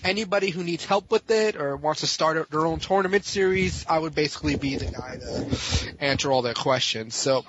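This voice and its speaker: A man's voice: on the high side, normal speed. A man speaking as a podcast guest in casual, informal, slangy chat. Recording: dull audio with faint noise.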